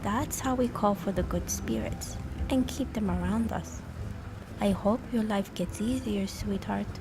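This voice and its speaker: A woman's voice: mid-range voice, measured pace, noisy background, close to an everyday voice. A woman playing a kindly old Chinese lady in an audio drama. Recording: voice over music.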